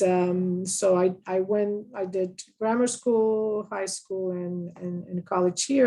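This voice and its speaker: A woman in her fifties from the Dominican Republic, a physician, introduces herself in a matter-of-fact way. Her voice is low, husky and mellow. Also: low-quality recording. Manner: plain, matter-of-fact with fillers. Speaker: physician, associate professor. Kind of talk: self-introduction on a panel.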